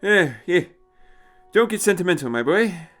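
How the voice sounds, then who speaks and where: An elderly gentleman doctor as a male narrator plays him in an audio story. He is kindly yet patronising, with a raised, lively pitch and fussy, old-fashioned turns of phrase. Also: normal speed, soft background music. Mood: brisk, gentle.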